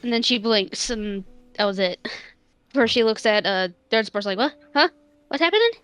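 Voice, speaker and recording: A woman's voice: on the high side, lively intonation, normal speed, fairly bright tone, clean tone, no room sound, soft background music. A woman improvising narration for her character in a tabletop roleplay.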